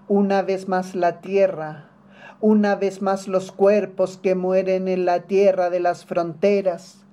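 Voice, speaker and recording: A Chilean poet recites an elegiac protest piece at a slow, deliberate pace. The voice is mellow and middle-pitched, with a narrow, even melody. Phrases return like a litany, delivered solemnly. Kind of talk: spoken-word poetry recitation, elegiac protest poem.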